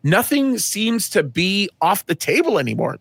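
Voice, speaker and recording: A man's voice: high-pitched, big pitch swings, normal speed, creaky, a bit bright, breathy edge, no room sound. A middle-aged man, a pastor, answering interview questions earnestly, often restarting and building emphasis. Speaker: guest pastor.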